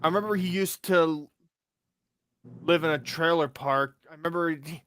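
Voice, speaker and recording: A man's voice: a little high, fairly bright tone, slight background noise. A twenty-two-year-old man hosting a stream, rambling with fillers and restarts. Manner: rambling, halting, full of fillers. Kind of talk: casual stream chat.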